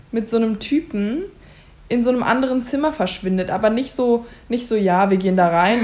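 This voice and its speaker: A young woman in a casual chat, telling a story in a lively way and laughing often. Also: on the high side.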